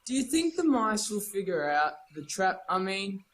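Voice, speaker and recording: A man's voice: high voice, big pitch swings, moderate pace, a bit bright. A man playing a chatty, mischievous Australian schoolboy in a nostalgic radio play. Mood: eager, chatty. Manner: chatty, teasing. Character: cheeky, talkative schoolboy, eager and mischievous.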